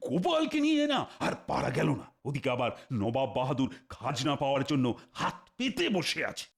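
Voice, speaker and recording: A man playing a gullible, quick-tempered king in a comedy cartoon, pompous and given to repeating his words. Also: mid-range voice, huge pitch swings, creaky. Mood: exasperated. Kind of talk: Bengali comedy cartoon dubbing. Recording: music behind the voice. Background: background music.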